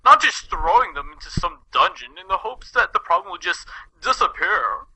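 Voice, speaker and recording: Man playing a sharp, high-pitched pompous stallion nobleman. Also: animated, moderate pace, breathy edge, heavily acted, rough recording. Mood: argumentative, lecturing. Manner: pompous, lecturing. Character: haughty, argumentative older male pony nobleman. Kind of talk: cartoon fan fiction audio reading.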